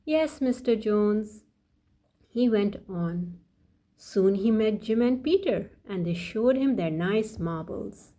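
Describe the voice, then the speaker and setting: A woman reading a children's story aloud in a deliberate, sing-song way, speaking directly to young listeners. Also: medium pitch, mellow.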